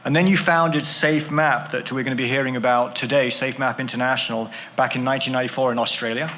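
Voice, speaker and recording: A man's voice: mid-range voice, fairly even intonation, quick pace, pushed and bright, slight background noise. A man introducing a speaker at a conference, reading informally from his notes. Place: conference hall.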